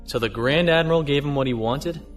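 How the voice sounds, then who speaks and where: An actor playing a calm, thoughtful male starship captain, measured and understated, in a sci-fi audio drama. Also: on the high side, soft background music, close to an everyday voice.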